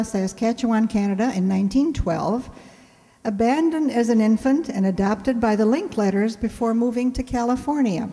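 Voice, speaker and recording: Mellow-voiced woman presenting in an echoey hall. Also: mid-range voice. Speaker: presenter introducing a radio play. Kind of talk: scripted introduction to an audience.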